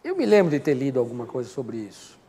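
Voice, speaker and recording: A man's voice: mid-range voice, sing-song, quick pace, warm tone. An older man with a Brazilian accent teaches an informal Bible class in a didactic way, often posing questions and answering them himself. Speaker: Sunday school teacher. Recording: long hall reverb.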